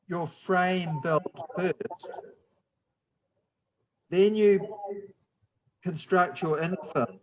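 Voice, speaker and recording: A man with a New Zealand accent giving a guest lecture on building structures, explaining slowly and patiently over a video call. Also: high-pitched, low-quality recording. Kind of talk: university lecture, slow and deliberate.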